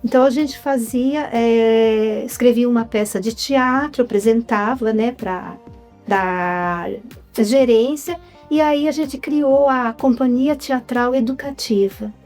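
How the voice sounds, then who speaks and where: A woman's voice: fairly high pitch, takes it slowly, a little mellow, faint music behind. A woman from Brazil, a writer and former psychologist, telling her story in a casual interview with many hesitant fillers.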